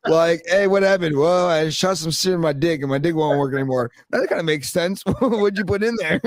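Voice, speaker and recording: Animated laughing man in his thirties. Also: a little high, moderate pace, no room sound. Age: 30s. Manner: animated storyteller, laughs often, swears. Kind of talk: casual chat.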